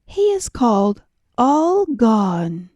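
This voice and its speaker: A woman's voice: fairly high pitch, big pitch swings, extremely slow, a little mellow, no room sound, lo-fi sound, full character voice. A woman voicing a sly, greedy female cat in a storybook, with a smug, coaxing manner.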